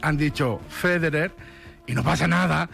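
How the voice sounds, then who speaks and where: Male radio panellist, Spain accent, slightly high pitch, rhetorical and ornate, light music underneath. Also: normal speed, creaky, a bit bright. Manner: florid, rhetorical wording. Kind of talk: casual debate.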